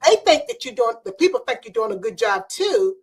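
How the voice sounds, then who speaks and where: A woman's voice: a little high, big pitch swings, a little fast, husky. A woman hosting a podcast, chatty and storytelling, with playful asides. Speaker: podcast host. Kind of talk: casual podcast chat. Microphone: remote video call.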